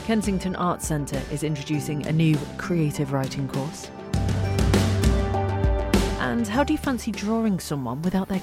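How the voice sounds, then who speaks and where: A woman with a Manx accent and a deep voice for a woman, presenting a radio arts show with playful scripted links.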